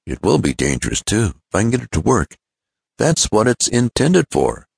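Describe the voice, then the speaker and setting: A man's voice: lowish voice, wildly swooping pitch, moderate pace, vocal fry, breathy edge, no room sound, studio quality, voice pushed up, full character voice. A man voicing an earnest, confident young male inventor in an adventure storybook, explaining things keenly. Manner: explanatory, keen.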